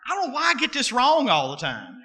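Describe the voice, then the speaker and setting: High, bright-voiced preacher in his thirties. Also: huge pitch swings. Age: 30s. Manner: folksy and conversational, slips into dialect; preaching cadence with drawn-out emphasis. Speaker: pastor. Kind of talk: sermon.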